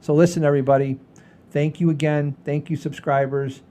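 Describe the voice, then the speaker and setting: A man's voice: little pitch movement, fairly quick, mellow. A man co-hosting a comedy show, chatting casually to the audience in a rambling way and often repeating his phrases. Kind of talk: casual chat, addressing the audience. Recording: low rumbling background noise.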